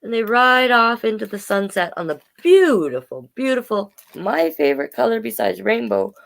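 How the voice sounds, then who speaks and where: A woman hosting a storytime, reading a picture book aloud with chatty, gently encouraging asides to listeners. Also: medium pitch, big pitch swings, moderate pace, creaky. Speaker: children's storytime reader and host.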